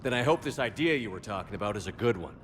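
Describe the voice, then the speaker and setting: A male actor plays a resolute soldier in command in a video game. His delivery is direct and lively, mid-pitched, with music behind. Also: fast, natural voice. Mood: wary, determined. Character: resolute human military commander, adult man.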